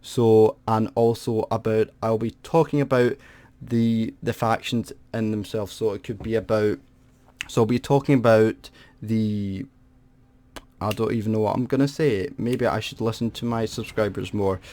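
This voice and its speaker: A man's voice: fairly low pitch, fairly even intonation, normal speed, mellow, no room sound. A man from the UK, hosting a gaming video and talking off the cuff. He rambles with many restarts and self-corrections, and sounds aggrieved about feeling ripped off. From United Kingdom. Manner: complaining, aggrieved. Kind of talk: unscripted gaming commentary to camera.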